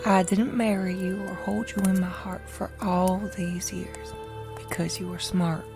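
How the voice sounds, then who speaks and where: An actress playing a devoted, forgiving wife from beyond death, tender and earnest in an audio drama. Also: female voice, on the low side, flat delivery, unhurried. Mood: tender conviction. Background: music.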